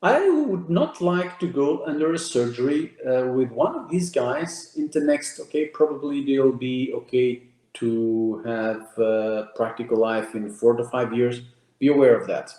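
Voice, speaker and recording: A man's voice: mid-range voice, expressive, a little slow, dark tone, reverberant, low-quality recording. A man whose first language is Portuguese, giving an emphatic presentation to an audience, counting off key ideas and repeating words as he goes. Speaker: speaker giving a talk.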